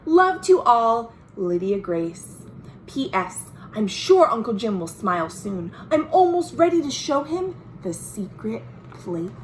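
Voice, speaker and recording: An American woman voicing an earnest, hopeful young girl's letters home from a storybook, with drawn-out, sing-song emphasis. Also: on the high side, very animated, background noise. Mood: cheerful. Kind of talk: expressive storybook letter reading.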